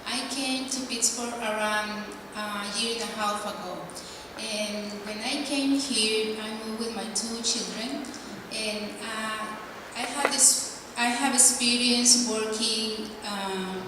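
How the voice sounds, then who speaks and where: A woman from El Salvador introducing herself on a panel, hesitant and filled with pauses. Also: fairly high pitch, steady tone. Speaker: community engagement coordinator. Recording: echoey hall, distant mic, noisy.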